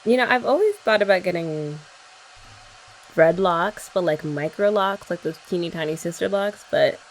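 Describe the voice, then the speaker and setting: A young woman chats playfully in an ASMR girlfriend roleplay, teasing her listener. Her voice has a gravelly fry and moves lively through her range. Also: fairly low pitch, a little hiss or hum, low-quality recording. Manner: playful, teasing, chatty with frequent laughs.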